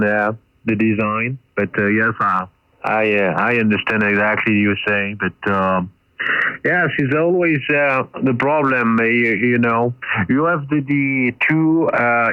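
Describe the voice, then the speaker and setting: Muffled shortwave radio voice, halting non-native man. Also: fairly low pitch, clear, resonant tone, dry recording. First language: not English. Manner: halting, searching for words, repetitive. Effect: ham radio transmission. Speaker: amateur radio operator. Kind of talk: casual radio conversation. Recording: muffled, band-limited shortwave radio signal.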